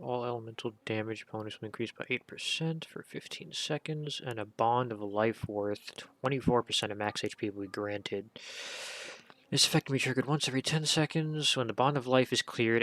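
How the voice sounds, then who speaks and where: Male gaming streamer, creaky mid-range voice, casual rambling commentary, off-the-cuff delivery. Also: mid-range voice, fairly bright tone, dry recording. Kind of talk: casual game commentary, reading skill descriptions aloud.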